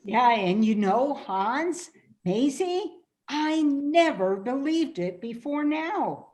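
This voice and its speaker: Casting: woman as easygoing, friendly barfly in a radio play, high pitch, leisurely pace. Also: lively intonation, takes it slowly, a little mellow. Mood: awed. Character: friendly, easygoing bar patron. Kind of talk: reader's theatre radio play acting.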